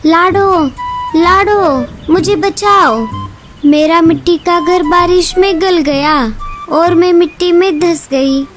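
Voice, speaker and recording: A frightened bulbul bird in a cartoon pleads in a high, shaky voice, played by a woman. She sounds sorry, and soft music plays beneath her. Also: slow, exaggerated voice. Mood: pleading.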